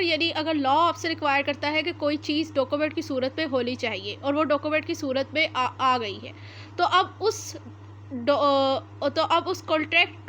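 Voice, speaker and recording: A very high-voiced woman with a Pakistani accent teaches like an exam-prep lecturer. She is methodical, explaining point by point and switching in English terms. The recording is amateur, with faint noise behind her. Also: sharp tone. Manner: methodical, reads formal text slowly then explains it point by point; mixes English terms into Urdu. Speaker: law lecturer.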